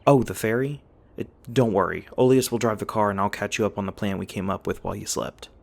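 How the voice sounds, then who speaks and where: A man's voice: lowish voice, steady tone, fast, vocal fry. A man playing a big, friendly young werewolf classmate in an ASMR roleplay, rambling casually and eagerly, a little dim. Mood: reassuring, casual. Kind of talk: ASMR roleplay addressed to the listener.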